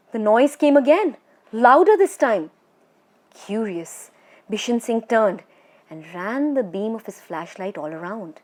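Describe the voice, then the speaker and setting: A woman reads a scripted scary story for children as a storyteller, with a dramatic, suspenseful and expressive delivery. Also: mid-range voice.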